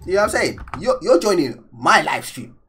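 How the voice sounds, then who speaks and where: A man's voice: sits high, very animated, a little fast, fairly bright tone, faint music behind. A young man, Danish by first language, giving casual, joking, slangy reaction commentary indoors on a desk mic. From Denmark. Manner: laid-back, joking, slangy. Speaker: reaction video host. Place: small room indoors.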